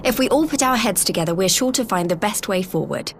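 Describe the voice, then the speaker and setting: An actress playing an earnest, friendly young envoy in a video game, speaking politely and encouragingly. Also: female voice, medium pitch, lively intonation, fast talker, slight background noise, close to an everyday voice. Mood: warm, businesslike. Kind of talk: video game cutscene voice acting.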